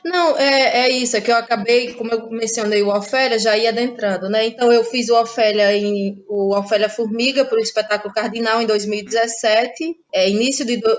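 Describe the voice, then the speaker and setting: High-voiced woman. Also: fairly even intonation, brisk, hardly any pauses. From Ceará, Brazil. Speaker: interviewed playwright and actress. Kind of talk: conversational personal account.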